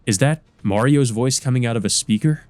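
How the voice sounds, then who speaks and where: A man's voice: mid-range voice, fairly quick, darkish tone, dry recording. Man narrating a visual novel over music. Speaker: let's play narrator. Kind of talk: reading visual novel narration with casual commentary. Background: game music.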